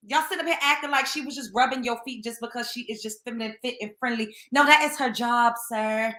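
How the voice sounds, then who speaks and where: A woman's voice: high voice, bright, forceful tone, roomy sound. A young woman, hosting a show and giving a blunt, scolding commentary monologue that repeats its points. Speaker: show host.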